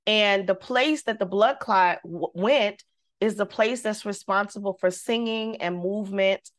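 Woman singer, animated storyteller, middle-pitched voice, casual chat. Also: a little slow. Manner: animated storyteller, acts out quotes, devout. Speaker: guest. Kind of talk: personal story in casual conversation.

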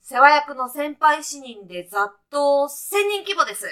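A woman plays a brash, breezy heavenly guide in a comedy radio play. The voice is high and bright, with very lively swings in pitch. The talk is punchy and clipped, full of casual jock-style slang. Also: normal speed. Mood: upbeat, brash. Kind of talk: comic radio sketch acting.

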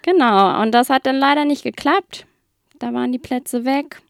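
A woman's voice: sits high, normal speed, gravelly, clear, resonant tone, no room sound, studio quality. A young woman from Hamburg, a guest on a radio show, answering questions in a friendly, slightly nervous way and laughing often. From Hamburg, Germany. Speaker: guest, psychiatry resident. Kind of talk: bilingual radio interview, casual. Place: radio studio.

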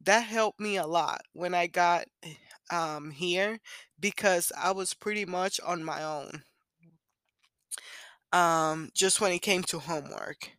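A woman's voice: fairly low pitch, slow, pauses often, a bit bright, no echo. A woman in her thirties speaks with a Puerto Rican accent, as a native Spanish speaker. She takes her time, talking candidly and conversationally like a solo podcaster. The sound is dry, with very little room in it. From Puerto Rico. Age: 30s. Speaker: podcast host. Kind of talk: personal storytelling, casual solo podcast.

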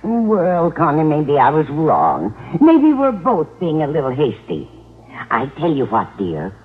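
An actress playing a fluttery, well-meaning older landlady with a husky voice and motherly fussing, in vintage radio sitcom style. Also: low voice, wildly swooping pitch, a little slow, full character voice. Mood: earnest, fussing. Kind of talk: 1950s radio sitcom acting. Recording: tinny old radio with audience.

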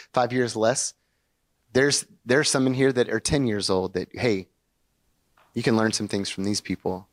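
A young male pastor preaching a sermon to his congregation in a church, in a conversational and animated way, often putting questions to the room. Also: medium pitch. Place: church sanctuary before a congregation.

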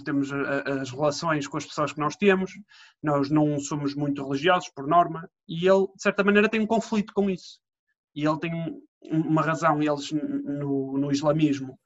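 A young man with a European Portuguese accent, co-hosting a casual podcast chat between friends in a jokey, animated way. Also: a little high. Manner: jokey, animated, does silly voices. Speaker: podcast co-host. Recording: dull lo-fi sound.

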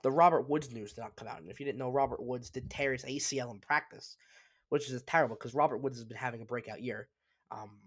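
A man hosting a solo sports talk show, congested and sniffly, giving emphatic opinions with frequent restarts. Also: medium pitch. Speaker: sports podcast host.

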